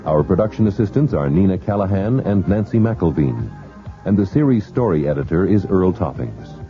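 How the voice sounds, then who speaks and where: A man announcing the closing credits of a radio drama in a measured, formal way. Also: deep voice, expressive. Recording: dull lo-fi radio recording with music.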